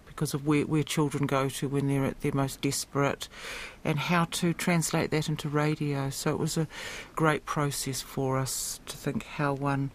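A man speaking as a theatre director in an interview, reflective, searching for phrases in a halting way. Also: mid-range voice, level tone, slight background noise.

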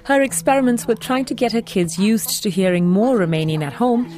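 Lively woman radio reporter. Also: fairly high pitch, brisk, faint music behind. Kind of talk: scripted narration.

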